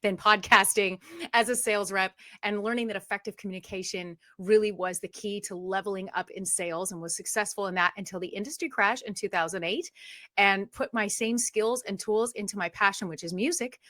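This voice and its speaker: A woman's voice: medium pitch, fairly even intonation, brisk, sharp tone, no echo, studio quality. A woman guest on a webinar, bubbly and enthusiastic as she describes her career. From USA. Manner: bubbly, enthusiastic, laughs often. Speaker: guest author.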